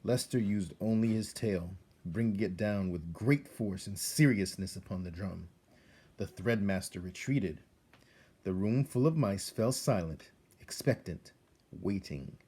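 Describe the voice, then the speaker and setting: A man reading a children's story aloud as narrator, expressive and measured, with dramatic delivery. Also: lowish voice, husky. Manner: measured, dramatic, addresses the listener.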